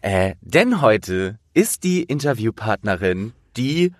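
A man in his thirties chatting casually as a podcast interviewer, with a jovial, animated manner. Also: fairly low pitch, slow pace, no room sound, clean studio sound.

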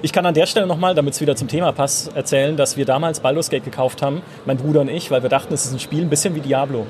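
A man's voice: on the high side, rapid-fire, darkish tone, slight background noise. A man hosting a casual chat at a trade fair, friendly and arguing in jest. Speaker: host. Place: busy trade fair floor.

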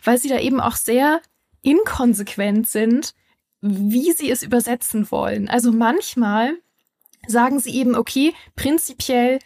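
A woman's voice: a little high, measured pace, darkish tone. A woman co-hosting a podcast, explaining a game's odd translation in an amused, engaged way. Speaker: podcast co-host. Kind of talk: casual podcast chat.

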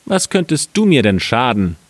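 A man's voice: mid-range voice, very animated, no echo, pitched above the performer's own voice. A man voicing a hapless, stubborn wagon driver who laments in a fairy tale. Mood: defiant, scornful. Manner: loud, drawn-out lamenting. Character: hapless, wailing male wagon driver, hot-tempered and stubborn.

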